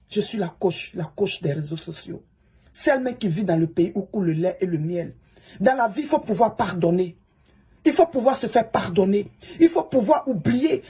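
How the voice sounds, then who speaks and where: A woman's voice: medium pitch, darkish tone, husky. A woman, a social media coach who is an English speaker, giving a pleading, preachy advice monologue and repeating the listener's name. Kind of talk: advice monologue to a fellow creator.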